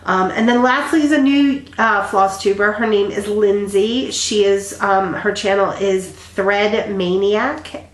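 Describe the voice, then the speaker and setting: A woman's voice: mid-range voice, measured pace. A woman in her thirties, stuffy and congested, chatting casually to her viewers with lots of ums and restarts. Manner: rambling, many ums and restarts. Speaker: flosstube vlogger. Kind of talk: casual chat to camera. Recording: echoey room.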